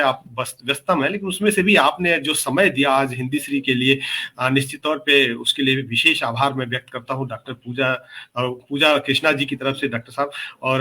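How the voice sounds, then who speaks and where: Male host, bright, slightly high voice, formal thanks, video call. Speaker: host of an online ghazal program. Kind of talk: formal thanks and recited couplets.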